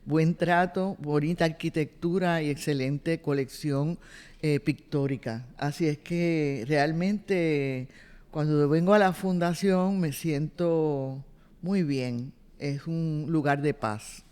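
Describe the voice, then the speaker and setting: Older Puerto Rican woman, smooth voice. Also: low voice, takes it slowly, a little mellow. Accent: Puerto Rican Spanish. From Puerto Rico. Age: 70s. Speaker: interviewee, retired cultural director. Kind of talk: oral history interview. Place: boardroom.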